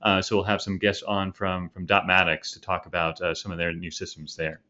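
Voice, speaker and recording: A man co-hosting a podcast in friendly, casual talk, pausing often with fillers and restarts. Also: low-pitched, level tone, sharp tone, lo-fi sound. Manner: hesitant, lots of fillers, friendly. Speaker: podcast co-host.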